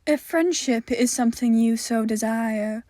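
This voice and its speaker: An eerie male creature from a horror story, voiced by a woman reader. The delivery is deliberate and ominous, with menace under a friendly offer. The sound is dry and close. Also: a little high, warm tone, no echo, lightly acted. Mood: ominous warning.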